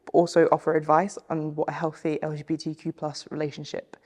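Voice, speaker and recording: A woman's voice: low voice, flat delivery, brisk, a little mellow, in a large hall. A young woman giving a prepared informational presentation for a training film. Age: young adult.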